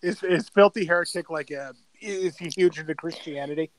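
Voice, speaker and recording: A man with a somewhat high voice talks on a group voice call. He stutters and repeats words whenever he starts a new thought, and keeps a relaxed, casual tone. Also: normal speed, no room sound, rough recording. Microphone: computer mic on a voice call.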